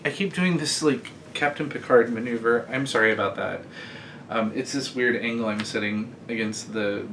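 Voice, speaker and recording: Man, late thirties, mid-pitched, casual self-deprecating vlogger with a faint rumble behind him. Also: fairly bright tone. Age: 30s. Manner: chatty, rambling and self-deprecating. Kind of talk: casual direct-to-camera chat. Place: indoors, sitting against a bed frame.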